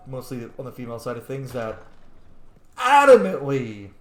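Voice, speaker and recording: A man's voice: mid-range voice, big pitch swings, moderate pace, a little hiss or hum. A man giving informal, sweary let's-play commentary, thinking aloud as he plays. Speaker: video game player and commentator. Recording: echoey room.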